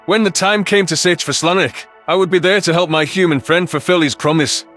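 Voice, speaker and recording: Male audiobook narrator for sci-fi fiction, fairly high voice, music underneath. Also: a little fast, fairly bright tone. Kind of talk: scripted sci-fi story narration in first person.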